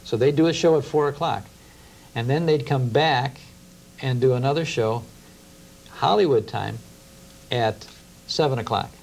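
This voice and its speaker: A middle-pitched American man chats as a studio guest, explaining things. His voice has plenty of fry, and the old tape carries faint noise. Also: a little slow, long pauses, a little mellow. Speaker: guest radio historian. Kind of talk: explanatory interview chat. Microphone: lapel mic.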